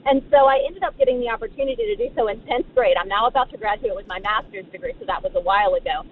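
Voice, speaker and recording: A woman's voice: fairly high pitch, lively intonation, racing. A young woman in her twenties calling in to a radio show, eager and enthusiastic. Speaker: caller. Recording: phone line with faint noise.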